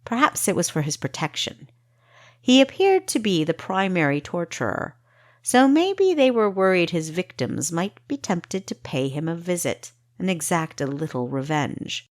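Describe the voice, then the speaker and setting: A woman's voice: fairly low pitch, sing-song, normal speed, dry recording, studio quality. A woman reading scripted fantasy fiction as an audiobook narrator.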